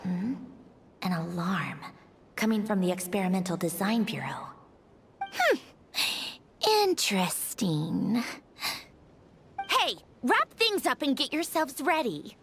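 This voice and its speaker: A woman's voice: a little high, sing-song, takes it slowly, long pauses, a bit bright, slight background noise, exaggerated voice. An actress playing a haughty, sadistically amused female robot villain in a video game, theatrical, bored and playfully taunting. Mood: bored, intrigued.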